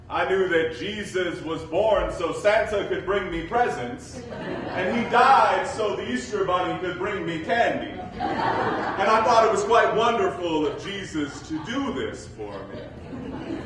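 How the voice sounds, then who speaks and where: A man in his thirties delivering a prepared autobiographical story in a measured, wry way. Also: on the high side, fairly bright tone. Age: 30s. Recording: very echoey room, distant mic, faint noise.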